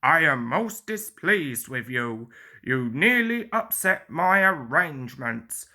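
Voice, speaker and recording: A woman's voice: low-pitched, very animated, slow pace, bright, forceful tone, pitched below the performer's own voice, full character voice. A woman voicing a stern, pompous male railway boss giving orders in a storybook. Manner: stern, pompous, bellowing at times. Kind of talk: storybook character voice.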